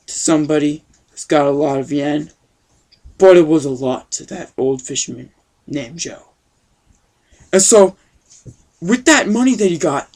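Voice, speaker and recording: An amateur acts out an old grandpa telling a homemade comic story. The delivery rambles in a folksy way, full of youthful slang. The pitch sits in a middle range. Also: a little mellow. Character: totally wicked awesome old grandpa telling a tale.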